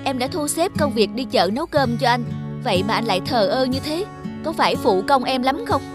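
Cartoon casting: a busy, self-absorbed young wife, highish voice, prominent music underneath. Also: female voice, lively intonation, brisk. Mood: indignant. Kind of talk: animated drama dubbing.

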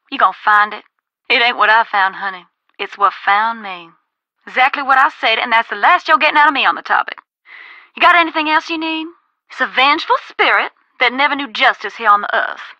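An actress playing a folksy, cautious former ghost hunter in a video game, with a Southern US accent and a drawling, cautionary manner. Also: female voice, fairly high pitch, sharp tone, no room sound, muffled recording. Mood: grave warning. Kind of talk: video game dialogue, conversational phone-call acting.

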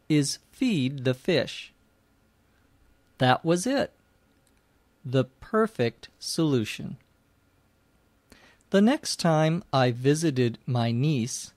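A man hosting a language-lesson podcast, narrating and explaining from a script in a deliberate, carefully phrased way for learners. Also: fairly high pitch, a little mellow, no room sound.

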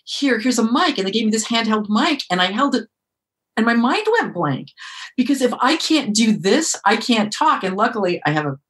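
An animated, emphatic woman author talking as a guest on an interview podcast. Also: medium pitch, breathy edge, poor audio. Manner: animated, emphatic, quick bursts of speech.

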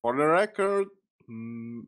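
A man's voice: medium pitch, lively intonation, fairly bright tone. A man co-hosting a casual live reaction chat, hesitant and full of fillers. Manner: slow, hesitant, with many fillers. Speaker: co-host.

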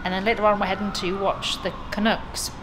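A woman in her thirties chatting casually on a travel vlog, her voice hoarse and partly lost. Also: medium pitch, flat delivery, a little fast, background noise. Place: busy pub, then ice hockey arena. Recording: loud crowd behind.

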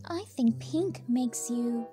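Woman as a young hedgehog girl, very high voice, unhurried, sweet, dreamy, lovestruck, cartoon dub, music behind. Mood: excited, teasing. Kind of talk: cartoon comic dub acting.

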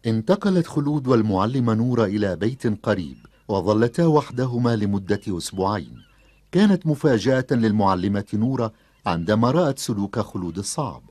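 An expressive cartoon storyteller, with lively rises and falls and a slightly husky tone. The scripted narration sounds close and dry. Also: male voice, on the low side, dry recording.

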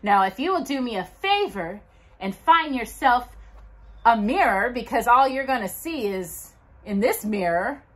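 A middle-aged woman hosting a children's storytime, reading aloud in an expressive, deliberate, dramatic way with emphatic pauses. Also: a little high. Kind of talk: expressive read-aloud of Bible verses and a children's Christmas book. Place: living room at home, indoors by a brick fireplace.

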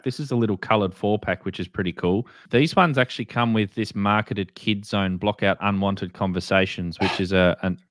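Male host, 30s, Australian, low-pitched, casually instructive, recorded close and dry. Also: dry recording. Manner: informal, instructive. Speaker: host of a ham radio show. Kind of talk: casual explainer on a livestream. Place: small indoor room, video call.

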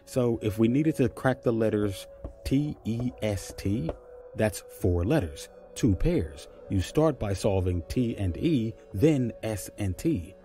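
A man's voice: fairly low pitch, expressive, slow, dark tone, soft background music. An actor playing a patient, puzzle-savvy man who explains step by step in naturalistic audio drama dialogue. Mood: explaining.

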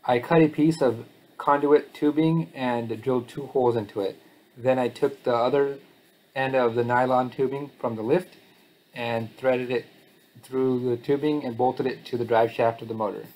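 A man's voice: medium pitch, fairly even intonation, normal speed, darkish tone, rough recording. Matter-of-fact man explaining a DIY build. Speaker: DIY channel host. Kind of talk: casual DIY voice-over walkthrough.

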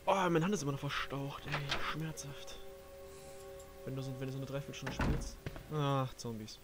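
A man's voice: mid-range voice, steady tone, normal speed. A young man giving casual, slangy and crude gaming commentary as he plays. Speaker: let's play host, playing the game. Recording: game music behind the voice. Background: video game music.

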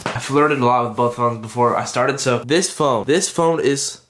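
A man in his twenties with a stuffy, congested voice, talking casually and jokingly to camera in a deadpan, goofy way. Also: mid-range voice, normal speed, background noise. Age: 20s. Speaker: YouTube vlogger, custom artist. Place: indoors, room at home.

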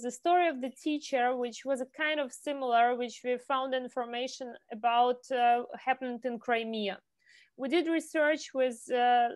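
High-voiced Ukrainian woman on a video call. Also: no room sound. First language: Russian. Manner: halting, many fillers and restarts. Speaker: film director. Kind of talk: online Q&A interview. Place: home room, indoors. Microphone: earphone headset on a video call.

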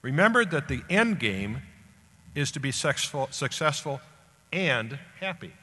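An older American man, around seventy, delivering a formal scripted commencement address at a podium microphone in deliberate, emphatic phrases. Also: a little high, big pitch swings, pushed and bright, breathy edge. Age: older, about 70. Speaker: guest speaker at a graduation ceremony. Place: large indoor auditorium stage at a podium. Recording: echoey hall public-address sound.

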